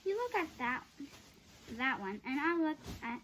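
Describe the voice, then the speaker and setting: A woman voicing a bold, excitable young girl from a storybook in an eager, exclaiming way. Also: high voice, a little slow, a little hiss or hum, exaggerated voice.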